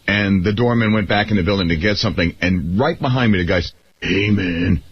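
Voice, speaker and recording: A man's voice: deep voice, a little fast, breathy edge. A man on a radio show telling a casual anecdote in a heated, profane way. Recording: dull radio audio with faint hiss.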